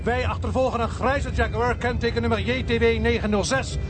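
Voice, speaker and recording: An actor playing a gruff, commanding male police inspector in a radio play. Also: extremely high pitch, fairly even intonation, a little fast, over music. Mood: tense, commanding. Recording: noisy, car engine behind the voice.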